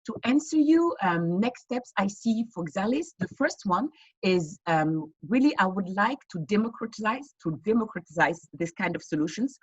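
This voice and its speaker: A woman's voice: mid-range voice, animated, moderate pace, husky, dry recording, muffled recording. A woman from West Africa, a fintech founder, answering interview questions earnestly with frequent fillers and restarts over a video call. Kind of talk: online panel interview answers.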